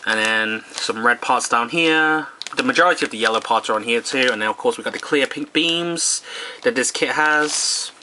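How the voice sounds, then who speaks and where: A mid-pitched man talks his way through an unboxing in a relaxed, halting way, with frequent ums and quick self-corrections. His voice is a little worn, as though he is losing it. Plastic bags crinkle and rustle loudly throughout the noisy recording. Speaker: model kit channel host. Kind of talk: casual unboxing narration.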